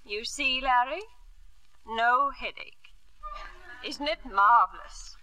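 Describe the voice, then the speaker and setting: An actress playing a nervy, genteel wife who drinks, in a 1950s radio drama. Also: female voice, fairly high pitch, takes it slowly, bright, forceful tone, no echo, poor audio. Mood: strained. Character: Evelyn, brittle unhappy wife who drinks.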